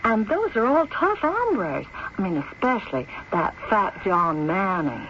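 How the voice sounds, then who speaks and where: A woman's voice: medium pitch, sing-song, measured pace. An actress playing a motherly, admiring frontier woman with an American Western drawl, chatty and coaxing, in an old radio drama. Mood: admiring, soothing. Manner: folksy, chatty, coaxing, rustic grammar. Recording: dull, noisy old radio broadcast.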